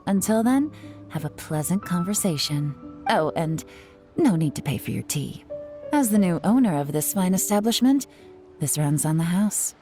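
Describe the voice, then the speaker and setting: A woman performs a young covert operative for a video game. Her voice is fairly low and very mellow, with a sly, confident, teasing air. Faint music sits behind her. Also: lively intonation, normal speed, lightly acted. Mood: breezy, confident. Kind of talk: scripted video game dialogue.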